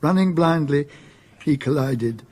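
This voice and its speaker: A British man narrating a radio drama in a measured, literary storytelling manner. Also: on the high side, animated, darkish tone.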